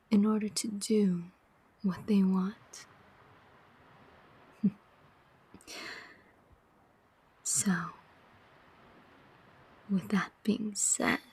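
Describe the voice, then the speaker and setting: A woman's voice: medium pitch, darkish tone, heavily acted. A woman voicing a cunning, taunting female demon collector of souls in a whispered ASMR roleplay. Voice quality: breathy whisper. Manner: slow, deliberate, taunting.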